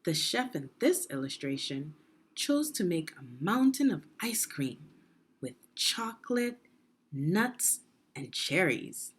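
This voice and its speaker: A woman reading a children's story aloud in a deliberate, expressive teaching manner, putting questions to the listener. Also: deep voice, husky. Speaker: education consultant reading a children's book aloud.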